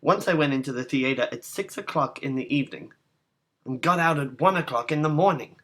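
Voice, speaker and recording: A man narrating a short story as a literary reading, in measured, deliberate phrases. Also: fairly high pitch, fairly bright tone. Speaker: narrator, reader.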